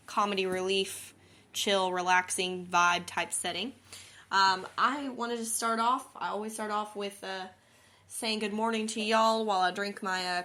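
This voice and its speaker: A woman's voice: lowish voice, sharp tone. A young woman from Missouri with a southern accent, chatting casually and rambling with lots of fillers as she hosts her own podcast. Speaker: podcast host. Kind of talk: casual chat.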